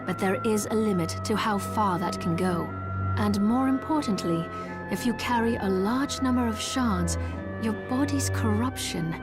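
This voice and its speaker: A woman's voice: mid-range voice, a little slow, lightly acted. A woman playing a composed, courteous but firm church merchant in a video game. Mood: businesslike. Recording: loud music behind the voice.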